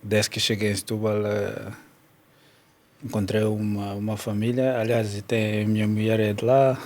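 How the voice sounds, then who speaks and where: A man from Cameroon speaks as a podcast guest. He talks calmly and hesitantly, filling pauses with soft "eh"s, and his voice sits somewhat low. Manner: calm, hesitant, with fillers and soft laughs. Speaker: former footballer, podcast guest. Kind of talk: casual chat.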